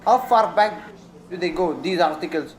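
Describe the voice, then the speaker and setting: A man's voice: high voice, moderate pace, close to an everyday voice. A young man acting in a radio drama as a stern, probing lawyer coaching his client. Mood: pressing. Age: teenager.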